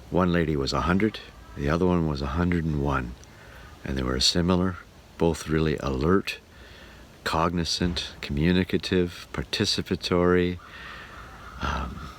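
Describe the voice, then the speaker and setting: A man with a low, deep voice talks through his travels in a casual, unscripted vlog. He is chatty and reflective. The recording has clear background noise from being made on the go. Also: a bit bright. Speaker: radio show host and touring performer filming a travel documentary. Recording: clearly noisy outdoor and vehicle recording.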